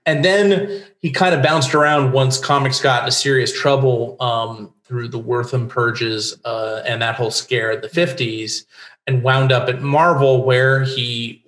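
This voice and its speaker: Middle-aged American male interviewee, informative and discursive, mid-range pitch, roomy home recording. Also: steady tone, unhurried, fairly bright tone. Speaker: interview guest, playwright. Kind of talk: casual explanatory interview talk. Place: home room lined with bookshelves. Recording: roomy home video call.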